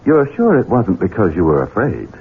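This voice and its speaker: A man's voice: sits low, huge pitch swings, normal speed, slightly rough, exaggerated voice. A man playing a coldly cruel, scheming heir in a 1970s radio drama, taunting and theatrical. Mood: sly, insinuating. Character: menacing, scheming Southern heir, adult son, coldly cruel. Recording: tinny, band-limited 1970s radio with hiss.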